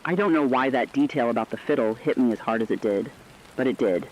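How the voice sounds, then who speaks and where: A man's voice: mid-range voice, fast talker, a little mellow, slight background noise, natural voice. A man playing a somber, humane radio correspondent, telling survivors' stories in a reflective, scripted report. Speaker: radio broadcaster. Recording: dull, lo-fi radio sound.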